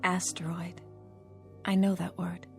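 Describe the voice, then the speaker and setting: A woman's voice: lowish voice, little pitch movement, creaky, soft background music. A woman playing an innocent, curious humanlike robot companion in a radio drama, asking things politely and naively. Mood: gentle, inquisitive. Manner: polite, naive, deliberate questioning.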